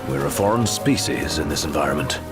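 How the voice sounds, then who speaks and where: Very deep, composed male adviser. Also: very animated, vocal fry, music in the background, exaggerated voice. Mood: dry, wary. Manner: measured, formal, understated. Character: composed, formal young male royal adviser, injured yet stoic. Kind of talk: dramatic video game acting.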